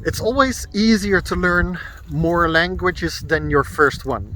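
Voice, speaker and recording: A Dutch-accented man in his thirties chats to camera out in the woods, working through his ideas as he talks. His voice is bright and a little high for a man. Soft guitar music plays quietly underneath. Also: lively intonation, unhurried, poor audio. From Netherlands. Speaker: vlogger. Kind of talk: casual talk to camera. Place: woods outdoors.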